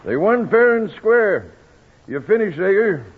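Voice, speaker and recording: Casting: dry, genial American Western businessman, rough husky tone, tinny vintage broadcast. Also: high voice, big pitch swings, a little slow, heavily acted. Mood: firm, pleased. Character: sly, genial male Western contract boss. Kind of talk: old-time radio Western acting. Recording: tinny old radio recording.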